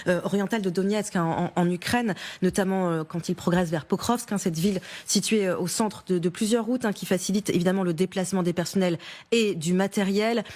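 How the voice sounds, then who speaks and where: A woman's voice: fairly low pitch, brisk, darkish tone. A woman journalist giving a prepared news explainer in a studio discussion, often punctuating phrases with small tags. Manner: punctuates sentences with a small "hein".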